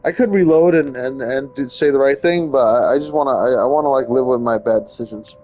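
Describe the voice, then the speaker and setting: A man's voice: medium pitch, brisk, darkish tone, faint music behind. A man giving casual gameplay commentary and reading story text aloud, often stumbling and rereading. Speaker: gaming streamer.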